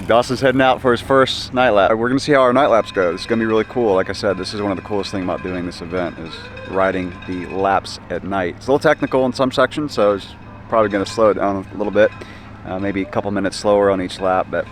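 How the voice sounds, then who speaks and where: A man talking casually and upbeat to his camera at an outdoor race, his voice a bit hoarse from yelling. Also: on the low side, fast. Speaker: vlogger. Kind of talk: casual vlog narration.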